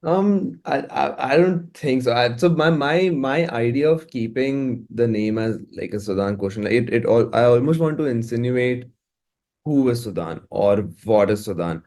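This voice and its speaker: A man with a medium-pitched voice speaks as a musician being interviewed. He is casual and reflective, often halting and repeating words as he works out his thoughts. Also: darkish tone. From India.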